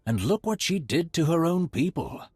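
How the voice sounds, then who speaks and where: Husky, formal Sith subordinate. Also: male voice, fairly high pitch, sing-song, no echo, clean studio sound. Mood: measured, wary. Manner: formal, measured wording. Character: dutiful, measured male Imperial Sith subordinate. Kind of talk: video game cutscene acting.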